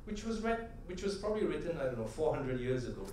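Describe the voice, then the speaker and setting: A middle-aged man tells stories to a convention crowd in a slightly raised, mellow voice. He sounds set back from the mic, and his words ring through a big, echoey hall over a faint murmur of room sound. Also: fairly high pitch, very animated, fast, distant mic, a little hiss or hum. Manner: conversational storyteller, often tags "right?"; builds to rhythmic, emphatic punchlines. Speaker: convention speaker. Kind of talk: motivational conference talk with anecdotes.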